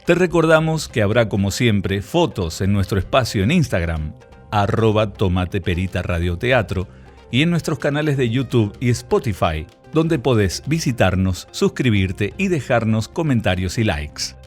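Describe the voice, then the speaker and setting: A man's voice: on the low side, animated, normal speed. An Argentine man reading a scripted promotional announcement for a podcast. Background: faint music.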